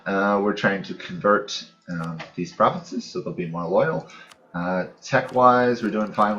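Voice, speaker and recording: A man's voice: lowish voice, a bit bright. A man giving casual, unscripted commentary as the host of a gaming let's play, musing aloud in a hesitant way with trailing thoughts. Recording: dull lo-fi mic, music behind. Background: background music.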